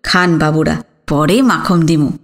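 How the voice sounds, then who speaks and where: A woman's voice: low voice, unhurried, voice pushed down. A woman voicing a humble, hardworking village sweet-shop man with an East Bengali accent in an audiobook. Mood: welcoming, humble. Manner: humble, respectful. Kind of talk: audiobook character dialogue.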